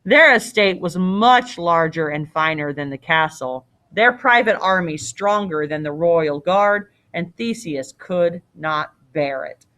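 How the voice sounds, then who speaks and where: A woman's voice: lowish voice, animated, takes it slowly, hardly any pauses, fairly bright tone. A woman reading a story aloud as a teacher, expressively, with casual explanatory asides.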